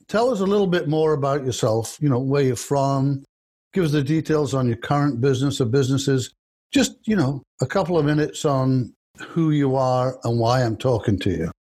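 A man's voice: mid-range voice, a little mellow. A man hosting a podcast who reads a scripted intro and then asks long, loosely worded questions. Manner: long, loosely phrased questions full of "you know". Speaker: podcast host.

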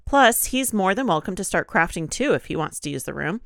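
A woman with a slightly low voice talks informally as a podcast co-host. She sounds a bit congested and nasal, as if from allergies, and moves between loose chat and reading posts aloud. The sound is close and dry. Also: sing-song, fairly quick, vocal fry, fairly bright tone, dry recording. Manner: informal, chatty.

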